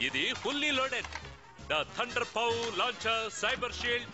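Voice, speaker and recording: A man's voice: extremely high pitch, drawn-out words, heavily acted. A man voicing a harried, cheerful, indulgent cartoon dad, exclamatory and dropping English phrases into his talk. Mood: cheerful, excited. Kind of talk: animated cartoon dub acting. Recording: dull lo-fi audio under loud music.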